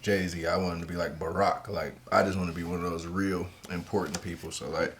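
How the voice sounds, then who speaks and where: A man's voice: deep voice, level tone, a little fast, noisy background. A young man hosting a casual interview, talking in a loose, slangy, profane way. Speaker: host.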